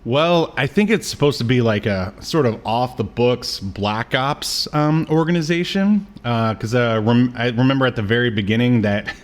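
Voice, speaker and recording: A man's voice: medium pitch, animated, normal speed, few pauses. Man chatting casually as podcast co-host. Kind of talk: casual media review chat.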